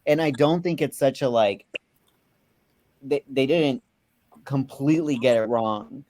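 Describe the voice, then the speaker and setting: A man's voice: medium pitch, a little slow, darkish tone. A man in a casual film discussion, rambling and repeating words as he thinks aloud. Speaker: film review panelist.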